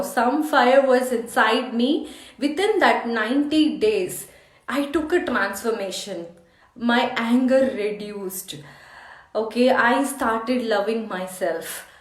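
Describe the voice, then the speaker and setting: A woman with an Indian accent telling a personal motivational story in an earnest, emphatic way, with halting English phrasing. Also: fairly high pitch. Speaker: life coach hosting a meditation challenge. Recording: echoey, roomy sound.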